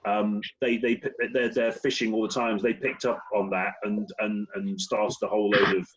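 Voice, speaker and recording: A man's voice: lowish voice, flat delivery, normal speed, lo-fi sound, noisy background. A man who owns a business joins an online webinar to share his own experience, stumbling and repeating words as he talks earnestly. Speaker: business owner attendee.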